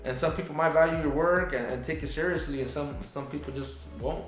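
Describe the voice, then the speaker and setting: A man in his thirties, a barber, giving casual advice in a relaxed, rambling way, full of fillers and restarts. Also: a little high, a little fast. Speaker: barber and channel host. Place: small barbershop, indoors. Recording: echoey shop room with faint music.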